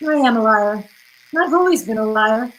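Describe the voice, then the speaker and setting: A woman's voice: on the high side, expressive, moderate pace, fairly bright tone, slight background noise, poor audio. A woman playing an alluring, deceitful femme fatale in an old-time radio drama, with a melodramatic, pleading manner. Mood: weary, pleading.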